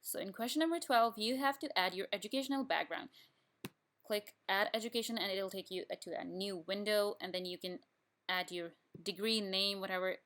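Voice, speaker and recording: A young woman, a student from Pakistan whose first language is Urdu, talks the listener through filling in an online form. Her pitch sits in a middle range. She explains each field in plain, step-by-step instructions and often restarts her sentences. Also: a little fast, fairly bright tone. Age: young adult. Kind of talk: screen-recorded tutorial walkthrough.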